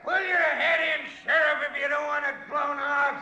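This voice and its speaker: High, shouting lynch-mob leader. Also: male voice, flat delivery, normal speed, heavily acted. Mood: menacing. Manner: shouting, threatening. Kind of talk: 1950s radio western acting. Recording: echoey, noisy old radio, crowd behind.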